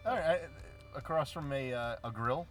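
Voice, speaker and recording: A man's voice: mid-range voice, normal speed, soft background music. A man, co-hosting a podcast with teasing jokes and stammering restarts. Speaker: podcast co-host. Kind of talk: casual podcast banter.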